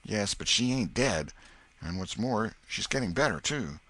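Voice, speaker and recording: A man voicing a scared, superstitious young village boy who speaks in a rustic backwoods dialect, hushed and fearful. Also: on the low side, animated, a little slow, voice pushed up, heavily acted. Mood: frightened.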